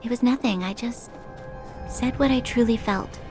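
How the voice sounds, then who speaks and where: A woman voicing a composed, sincere young noblewoman in a video game, measured and earnest. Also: fairly high pitch, darkish tone, soft background music, lightly acted. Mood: gentle, earnest.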